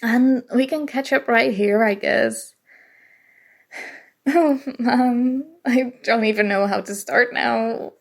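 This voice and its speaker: A nerdy young college woman played by an actress with a fairly high voice that often cracks into fry. She is flustered and emotional, stammering haltingly through an intimate ASMR roleplay. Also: exaggerated voice. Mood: nervous, relieved. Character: nerdy, flustered young female college student confessing a crush.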